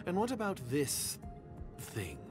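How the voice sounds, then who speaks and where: An actor playing a scornful, secretive conspirator in a video game, with a guarded, measured and disdainful manner. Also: male voice, high-pitched, huge pitch swings, music in the background, heavily acted. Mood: suspicious. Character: wary, scornful covert conspirator, secretive and calculating.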